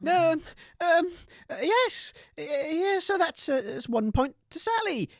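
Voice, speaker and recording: A woman's voice: very high voice, big pitch swings, slow pace, no room sound, clean studio sound, full character voice. A woman playing a flustered, affable radio co-host and quizmaster in an audio comedy. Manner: hesitant, flustered, appeasing. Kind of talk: scripted audio comedy hosting.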